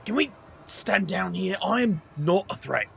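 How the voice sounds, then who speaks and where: An actor with a British accent playing a wry, world-weary magician in an audio drama, dry and self-deprecating. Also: male voice, high-pitched, lively intonation, unhurried, gravelly, fairly bright tone, slight background noise, heavily acted. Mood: exasperated. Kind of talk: audio drama narration and acting.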